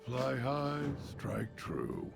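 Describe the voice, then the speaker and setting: An actor playing a solemn, terse fantasy game warrior, grave and measured, with a gravelly voice. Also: male voice, medium pitch, wildly swooping pitch, over music, heavily acted. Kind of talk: video game character barks.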